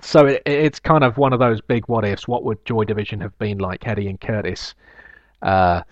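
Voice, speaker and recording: Man, podcast host, low voice, slight lisp, rambling and reflective, dry dull recording. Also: no echo. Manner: reflective, rambling, with frequent fillers and restarts. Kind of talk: solo podcast monologue, reading listener emails.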